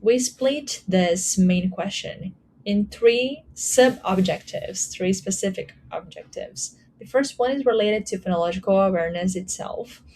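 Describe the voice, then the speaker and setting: Brazilian-accented woman, conference presenter, measured delivery with many fillers, light background hiss. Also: mid-range voice, darkish tone, rough recording. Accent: Brazilian Portuguese. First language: Portuguese. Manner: measured, with frequent ums and uhs. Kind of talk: academic talk.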